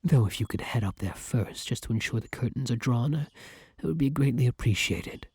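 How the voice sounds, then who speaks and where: A man's voice: medium pitch, expressive, fairly quick, a little mellow, slightly rough, no room sound, studio quality. A man playing a devoted, old-fashioned vampire in an ASMR roleplay, speaking formally and with flowery affection. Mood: weary. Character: courtly, devoted gentleman vampire, male, tender and old-fashioned. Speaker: roleplay voice actor. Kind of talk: ASMR roleplay acting addressed to the listener.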